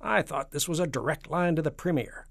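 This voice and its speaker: A man voicing an excitable, hot-tempered president in a children's story, snapping and exclaiming loudly. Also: on the high side, moderate pace, gravelly, no echo, clean studio sound, pitched above the performer's own voice, heavily acted. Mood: exasperated, furious. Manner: snapping, exclaiming, loud and emphatic.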